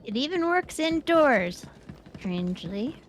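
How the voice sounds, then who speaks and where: A woman's voice: sits high, sing-song, slow pace, a little hiss or hum. A woman co-hosting a game stream with casual, conversational talk. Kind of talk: casual gaming chat.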